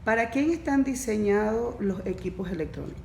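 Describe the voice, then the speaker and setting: A woman's voice: medium pitch, lively intonation, moderate pace, creaky, a little mellow. A woman who facilitates a neurodiversity course, explaining things in a podcast discussion and asking pointed questions. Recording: echoey room with faint noise.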